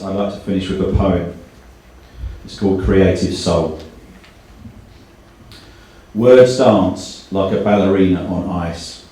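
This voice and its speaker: A man's voice: deep voice, dark tone. A man hosting a live event, giving a prepared speech and reading poetry to an audience. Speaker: event host. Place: indoor live launch event. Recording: very echoey hall, far from mic.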